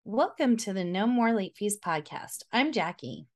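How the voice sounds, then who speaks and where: A woman in her thirties from Florida co-hosting a casual podcast, her voice a little hoarse, laughing often. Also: medium pitch, very animated, unhurried, loud music behind the voice. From Florida, United States. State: slightly hoarse. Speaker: podcast co-host. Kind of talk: casual chat.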